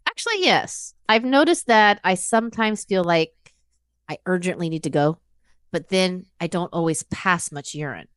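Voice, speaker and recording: A woman acts out a worried female patient in her mid-fifties. Her voice sits a little low but swings expressively as she earnestly describes things in a conversational way. The recording is dry and free of room sound. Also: animated, moderate pace, fairly bright tone, clean tone, no echo. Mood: concerned. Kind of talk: acted medical consultation for exam practice.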